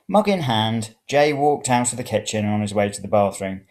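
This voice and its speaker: A man's voice: fairly low pitch, big pitch swings, moderate pace, darkish tone. A man reading a first-person story aloud. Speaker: reader of serial fiction.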